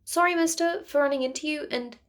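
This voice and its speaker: A young boy of about eight, acted by a woman narrator, speaks in a raised, childlike pitch. He is apologetic and nervous, stumbling over his words in hesitant bursts, as in an audio story. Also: fairly quick, lightly acted. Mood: nervous, sheepish. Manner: stammering, hesitant.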